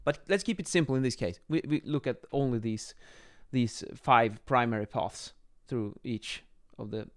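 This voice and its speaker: A man's voice: medium pitch, moderate pace, hardly any pauses. Man giving an informal technical lesson. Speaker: acoustics instructor, presenter. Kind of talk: informal whiteboard explainer lesson. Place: small home office, indoors. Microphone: microphone on boom arm, close.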